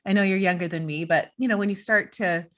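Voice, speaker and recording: A woman's voice: mid-range voice, quick pace, fairly bright tone. A woman in her forties hosting a podcast from her living room over a video call, talking in an upbeat, gushing way with lots of "you know.". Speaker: podcast host. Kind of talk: scripted promo then casual interview chat. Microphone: studio mic on a boom arm, earbuds, video call.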